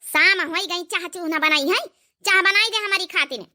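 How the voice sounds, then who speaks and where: Woman voicing a shrill, bossy village mother-in-law. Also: moderate pace, exaggerated voice. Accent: Awadhi. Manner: scolding, sharp. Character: bossy, sarcastic village mother-in-law, sharp-tongued and proud. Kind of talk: cartoon comedy dialogue.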